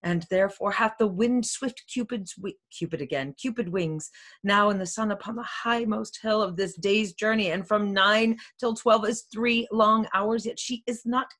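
A woman's voice: medium pitch, moderate pace, fairly bright tone, natural voice. A woman reading an impatient, lovesick young heroine in a Shakespeare play, with dramatic, insistent pleading. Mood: impatient longing.

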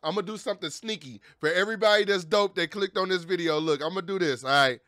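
A man in his thirties giving casual, slangy reaction commentary with animated banter and swearing, close on a studio mic. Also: high voice, fast talker, no room sound. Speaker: reaction video host. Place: small home streaming room. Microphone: close studio mic on boom arm, headset.

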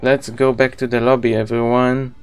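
A man's voice: mid-range voice, level tone, moderate pace, clean tone, faint music behind. A man in his thirties with an Israeli accent, a native Hebrew speaker, chatting casually with viewers as he live-streams a video game.

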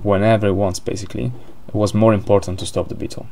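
A man's voice: lowish voice, little pitch movement, quick pace, noisy background. A man with an Italian accent commentating a board game live, hesitant, with many fillers and restarts.

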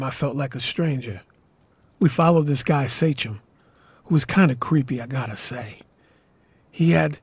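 A man's voice: mid-range voice, darkish tone, breathy edge, dry recording. An actor playing a wary, plain-spoken young male survivor, narrating and talking casually in an audio drama. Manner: casual, colloquial storytelling.

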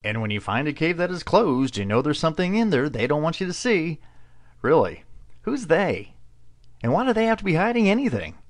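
A man's voice: a little high, very animated, a little fast. A man narrating a video voice-over with dry, mocking sarcasm.

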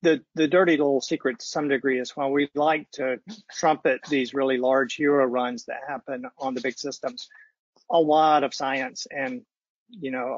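A man's voice: medium pitch, breathy edge, no echo. A man speaking as a technical panelist in an unscripted discussion. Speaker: panelist from a supercomputing research facility. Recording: dull, lo-fi online call audio.

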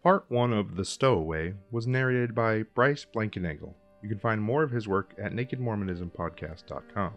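A man's voice: fairly low pitch, lively intonation, normal speed, few pauses, lo-fi sound, soft background music. A man reading scripted podcast credits as the show's producer.

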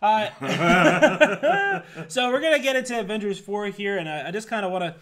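A man's voice: high-pitched, very animated, normal speed, a bit bright. A man in his thirties co-hosting a casual pop culture review with wry, self-deprecating banter. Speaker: co-host of a pop culture podcast. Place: indoor makeshift studio room.

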